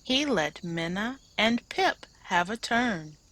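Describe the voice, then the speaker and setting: A woman teacher reading a children's picture book aloud in an expressive, deliberate way, leaning on key words. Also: mid-range voice. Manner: deliberate, dramatized phrasing with emphasized words.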